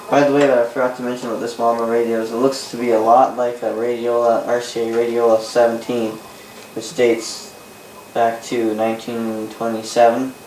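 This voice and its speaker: Male, Canadian accent, amateur hobbyist presenter. Monotone, halting delivery with rephrasing, heard in an echoey room. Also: medium pitch. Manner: halting, repeats and rephrases himself. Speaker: vintage radio hobbyist presenting. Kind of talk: casual unscripted demonstration. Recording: roomy, distant mic, faint noise.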